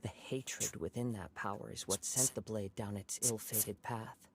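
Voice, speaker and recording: A young man voicing a gentle wandering samurai in a video game, with a calm, composed and reflective way of talking. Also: fairly low pitch, a little slow, creaky. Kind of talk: game voice acting. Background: game music.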